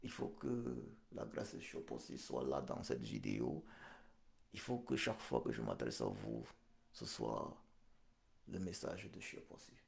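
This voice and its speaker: A middle-aged man, recovering from a hospital stay, hosting a live-stream political address in a solemn, slow, repetitive way full of fillers. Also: very deep voice, sing-song, vocal fry, darkish tone. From Cameroon. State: convalescent after hospital stay. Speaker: political livestream host.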